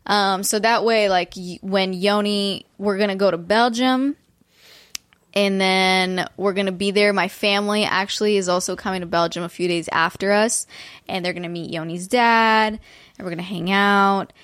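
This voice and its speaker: A woman's voice: medium pitch, steady tone, normal speed, a bit bright, clean tone, dry recording. A young woman from Romania co-hosting a podcast in casual chat, talking with lots of fillers. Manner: chatty, many fillers like "like" and "um". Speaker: podcast co-host.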